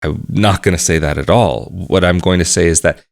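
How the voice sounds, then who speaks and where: A man talking casually to the camera, explaining things at a measured pace and repeating phrases for emphasis. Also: deep voice, gravelly. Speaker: vlogger.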